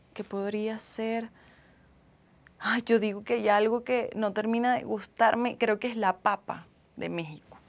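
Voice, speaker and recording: A young woman with a Venezuelan accent chats casually and expressively as she hosts a live stream answering viewer comments. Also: medium pitch. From Caracas, Venezuela. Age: young adult. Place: small indoor room with couch and acoustic foam.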